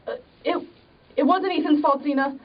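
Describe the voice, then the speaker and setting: A high, earnest voice, a woman acting a timid young woman desperately in love in a stage drama. She sounds pleading and devoted, picked up dully from some distance. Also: normal speed, natural voice. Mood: anxious pleading. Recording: dull, distant microphone.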